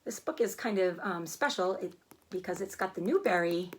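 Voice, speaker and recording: A woman's voice: lowish voice, lively intonation, normal speed, husky. A woman schoolteacher talking to young pupils during a read-aloud, explaining things simply. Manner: explanatory, addressing children simply.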